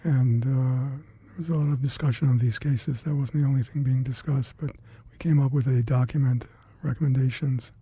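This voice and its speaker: An older man with Hungarian as his first language, recalling past work in an oral history interview, halting and reflective with many fillers and restarts. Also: mid-range voice, fairly even intonation, mellow, husky, no echo. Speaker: interviewee, retired scientist.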